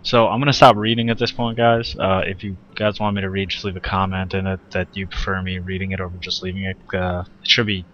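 A man's voice: sits low, level tone, fast, few pauses, dull audio, slight background noise. A man streaming a video game, chatting casually and thinking aloud as he plays. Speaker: gaming streamer. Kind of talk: casual gameplay commentary. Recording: game music playing loudly behind.

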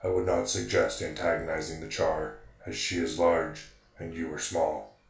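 Male robot for fantasy audio drama, played by a man: low gravelly register, stiff and literal, dry recording. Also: steady tone, moderate pace, echoey room, faint music behind. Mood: flat, pedantic. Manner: stiff, precise, formal phrasing. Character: literal-minded, formal male golem robot.

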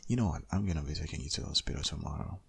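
A man playing a protective, worried boyfriend in an intimate ASMR audio roleplay, speaking insistently in a husky, half-whispered voice. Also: racing, a bit bright, full character voice. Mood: firm, protective. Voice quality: very deep, gravelly, husky, often half-whispered.

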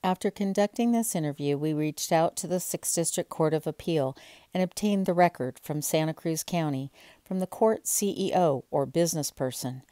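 A woman's voice: low voice, moderate pace, mellow, no echo, studio quality. A woman in her thirties, an independent court reporter, speaking earnestly and pointedly to camera in an indoor hallway. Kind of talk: to-camera commentary.

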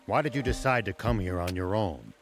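An actor playing a stern, shrewd older man in a video game, speaking in a serious, deliberate, authoritative way. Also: on the low side, animated, music in the background. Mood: probing. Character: stern, shrewd old male lawman. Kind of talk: English video game voice acting.

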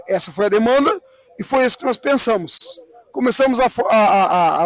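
High-pitched man explaining. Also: no room sound. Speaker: event president being interviewed. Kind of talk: live outdoor interview, explanatory talk. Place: outdoors on a wooden swing in a park.

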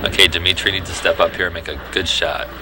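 A man giving relaxed, knowing commentary on a youth bowling match, explaining shots as they happen. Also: low-pitched, quick pace. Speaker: color commentator. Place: bowling alley. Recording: noisy bowling alley ambience.

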